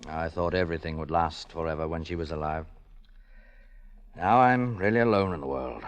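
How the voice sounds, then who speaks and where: An actor playing a world-weary, lonely middle-aged businessman in a measured, deliberate 1940s radio drama style. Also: low-pitched, lightly acted. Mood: weary, guarded. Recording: old radio recording with reverberant hall sound.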